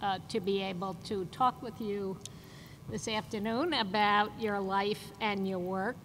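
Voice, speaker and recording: An older woman interviewing a colleague on a panel, asking measured questions. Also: medium pitch. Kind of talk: panel conversation. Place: conference stage, large hall. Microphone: table mic on stand. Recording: reverberant hall, faint noise.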